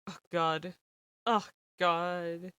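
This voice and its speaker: A young woman streamer who speaks Danish natively, reading a visual novel aloud and chatting with viewers, giggly and excitable with sudden squeals. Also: on the low side, extremely slow, clean tone, no room sound. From Denmark.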